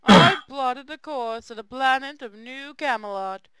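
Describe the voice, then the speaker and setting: A woman's voice: high-pitched, a little slow, a bit bright, smooth, dry recording. A woman playing an earnest space navy officer in a visual novel read-aloud.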